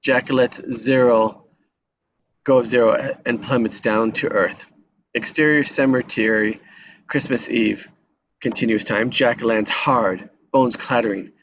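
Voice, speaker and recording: A man's voice: medium pitch, steady tone, a little slow, a bit bright, slight background noise, low-quality recording. A man reading scripted action descriptions as narrator at a screenplay table read.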